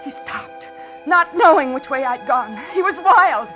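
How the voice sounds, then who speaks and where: Dull vintage radio sound. frightened female captive, high-pitched, polite on the surface, breathless dramatic narrator of a thriller. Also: animated, measured pace. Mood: panicked. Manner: dramatic, breathless first-person suspense narration. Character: frightened captive woman, narrating her ordeal, outwardly polite. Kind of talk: 1950s radio thriller acting. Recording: dull 1950s radio drama, loud music.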